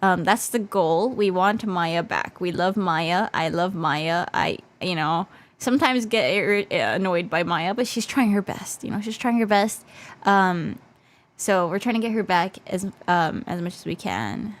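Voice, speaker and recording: A woman's voice: lowish voice, a little slow. Casting: female livestreamer, casual and playful, hesitant and repetitive, stuffy nose, voice slightly worn out. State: slightly losing her voice. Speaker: VTuber livestreamer. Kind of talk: casual livestream chat in English mixed with Japanese.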